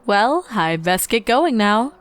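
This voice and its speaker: A woman's voice: mid-range voice, big pitch swings, takes it slowly. A friendly unicorn schoolteacher from a pony storybook, acted out by a woman, with hearty, cheerful manners. Music plays behind the voice. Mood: wry, amused.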